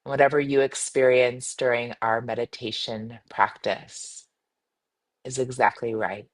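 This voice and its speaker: Female meditation guide, mid-to-low voice for a woman, soothing and unhurried, gentle instructions with spacious pauses. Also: fairly even intonation. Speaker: meditation guide, yoga teacher.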